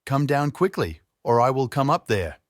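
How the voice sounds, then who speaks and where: Man acting a fearsome, commanding storybook husband, swooping pitch. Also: sing-song, moderate pace, no room sound, studio quality, natural voice. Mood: roaring, threatening. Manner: imperious, archaic formal speech, roaring threats. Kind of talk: storybook character voice in narrated fairy tale.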